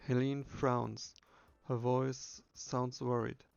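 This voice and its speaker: A man narrates a text-based game in a subdued, even voice with some gravel in it. His pitch moves very little. The recording sounds dull and muffled. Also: slow. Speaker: solo player reading game text aloud.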